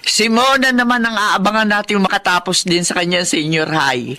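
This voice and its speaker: A woman's voice: mid-range voice, moderate pace, pushed and bright, natural voice. A woman playing a doting, effusive older grandmother in a Filipino radio drama. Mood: proud. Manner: exclamatory, effusive. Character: doting, excitable grandmother, proud of her grandchildren.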